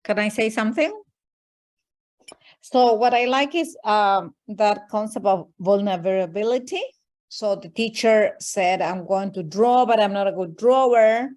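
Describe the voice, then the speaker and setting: A woman's voice: mid-range voice, measured pace, darkish tone. A woman whose first language is Spanish, a teacher educator sharing a reflective comment in a workshop, with restarts and fillers.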